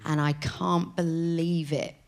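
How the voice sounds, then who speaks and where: A woman's voice: deep voice, level tone, slow, gravelly. A woman in her late twenties, a podcast guest chatting casually, telling rambling stories with frequent giggles. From England. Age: late 20s. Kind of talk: casual chat.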